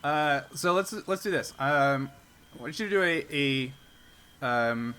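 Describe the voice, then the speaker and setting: A man acting as game master, casually narrating a tabletop roleplaying game in a halting, conversational way. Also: on the high side, expressive, pushed and bright, clear, resonant tone.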